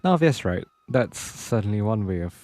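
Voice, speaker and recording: A woman's voice: very deep voice, very animated, moderate pace, mellow. A woman streaming a video game with casual commentary, reacting briefly and reading some lines aloud. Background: game music.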